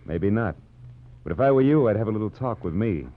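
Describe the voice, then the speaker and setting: Actor as deep-voiced hard-boiled American private eye. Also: male voice, expressive, a little fast, natural voice. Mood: dry, wisecracking. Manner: sardonic, clipped wisecracking. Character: wry, hard-boiled male private detective narrating his case. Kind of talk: 1940s radio detective drama acting. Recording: dull vintage radio recording, music behind.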